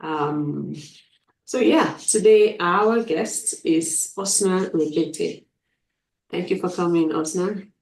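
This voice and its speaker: A woman's voice: on the low side, slow, vocal fry, darkish tone. A woman hosting a live stream interview, enthusiastic, often repeating words as she frames her questions over a video call. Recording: roomy, distant from mic.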